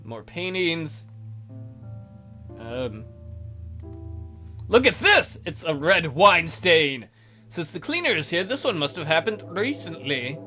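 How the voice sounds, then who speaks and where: A man hosts a let's play with casual, sarcastic commentary. His voice is bright and swings around in pitch. Game music runs underneath his wry asides. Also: big pitch swings, slow pace, pauses often.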